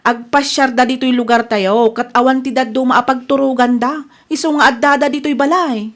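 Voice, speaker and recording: Woman acting high-pitched Ilocano village daughter. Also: fairly even intonation, moderate pace, close to an everyday voice. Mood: evasive, reassuring. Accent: Ilocano Filipino. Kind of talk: Ilocano radio drama acting.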